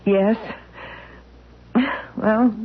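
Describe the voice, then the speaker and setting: An actress playing a dazed housewife who speaks haltingly in an old radio drama. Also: female voice, medium pitch, steady tone. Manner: hesitant, halting speech. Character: dazed housewife Edith, embarrassed after passing out. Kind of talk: 1970s radio drama acting. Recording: tinny lo-fi old radio broadcast.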